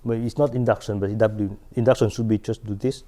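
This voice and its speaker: Male math lecturer, middle-aged, mellow voice, halting delivery with restarts, lapel mic in a big lecture hall. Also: fairly low pitch, steady tone. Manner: halting, many restarts and fillers, asks "right?". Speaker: mathematics lecturer. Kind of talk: chalkboard lecture. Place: large lecture hall at a chalkboard.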